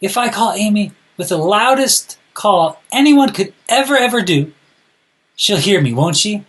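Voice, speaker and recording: Storybook young boy, played by a man: raised pitch, animated swings, pleading and insistent. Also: unhurried, exaggerated voice. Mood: earnest pleading. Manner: insistent, earnest, calling out. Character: eager young boy in a picture book, pleading and calling.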